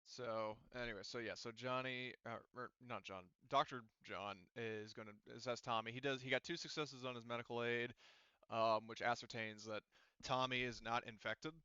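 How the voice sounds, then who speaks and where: A man acts as game master for a tabletop game, improvising narration in a casual, halting way as he thinks aloud. His voice is clean and resonant, at a middling pitch. The sound is close and dry but a little dull. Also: steady tone, pushed and bright, smooth, no room sound.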